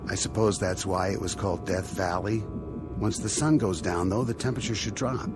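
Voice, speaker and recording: A man acting in a radio drama as a mild, agreeable husband gently persuading his wife. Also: sits low, normal speed, music in the background, natural voice. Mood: coaxing. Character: mild, coaxing husband of the future seeking adventure.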